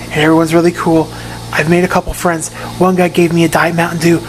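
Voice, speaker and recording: Hoarse, raspy man, halting delivery, casual update from his room, faint hum behind. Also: a little high, level tone, rough recording. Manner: halting, often repeats and restarts words. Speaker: patient giving a recovery update. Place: private room in a rehab facility.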